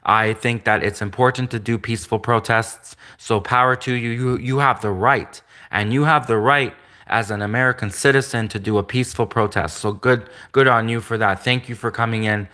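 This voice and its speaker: A man hosting a live stream, talking in a rambling, earnest way, often repeating himself, with a soft voice that some hear as gender-ambiguous. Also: mid-range voice, steady tone, normal speed, hardly any pauses. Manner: repetitive, earnest, restates points often; greets viewers and reads comments aloud.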